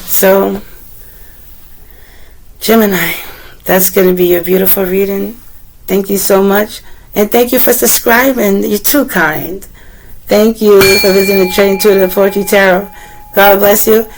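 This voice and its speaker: A woman tarot reader with a deep, slightly rough voice, still getting over a cold, talking gently and meandering as she speaks to the viewer and reads aloud from a guidebook. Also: steady tone, unhurried, pauses often, slight background noise, rough recording. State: recovering from a cold. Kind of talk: casual tarot reading, partly read aloud from a guidebook.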